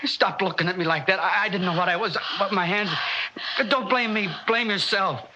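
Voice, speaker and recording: Henpecked New husband, high and very sharp, quick-tempered; old hissy radio drama. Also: male voice, dull audio. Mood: stunned, pleading. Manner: exasperated, argumentative, pleading. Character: hot-tempered, hard-working, henpecked husband and resentful son. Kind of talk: 1960s radio drama acting.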